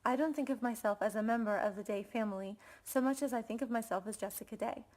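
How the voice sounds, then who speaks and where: A woman's voice: fairly high pitch, quick pace, a little mellow. A woman with an American accent reading a scripted personal reflection as a student presenter. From United States.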